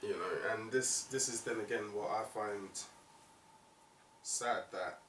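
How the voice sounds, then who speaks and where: A man's voice: on the low side, slow, a bit bright. A man hosting a conversational music interview, speaking in a reflective, opinionated way. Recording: roomy, distant mic, faint noise.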